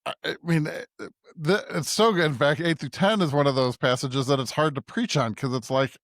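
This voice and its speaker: Halting male podcast co-host, dry sound. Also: on the high side, a bit bright, dry recording. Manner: halting, repeats words, seeks agreement. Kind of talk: casual discussion.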